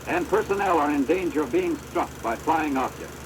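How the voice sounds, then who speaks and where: American male narrator, formal and instructive, very animated intonation, measured scripted delivery, deteriorated 16mm film sound with clear machine noise. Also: a little high. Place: recorded off an old film projector.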